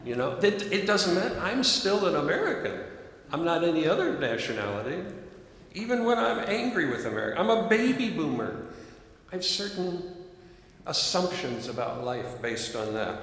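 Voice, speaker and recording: A man's voice: a little high, big pitch swings, moderate pace, fairly bright tone. An older man from the Midwest, a minister giving a reflective, earnest sermon. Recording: echoey hall, long reverb.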